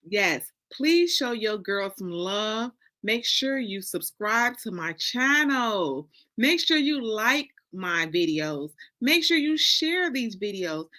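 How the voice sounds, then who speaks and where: A woman's voice: a little high, sharp tone. An American woman from Detroit hosting as an author, chatting casually to her viewers and repeating phrases for emphasis. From Detroit, United States. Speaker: host and author.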